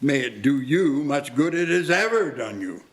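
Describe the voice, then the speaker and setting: An actor playing a miserly, scornful old businessman in a live radio play, curt, gruff and sarcastic. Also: a little high, sing-song, moderate pace. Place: live community theatre performance.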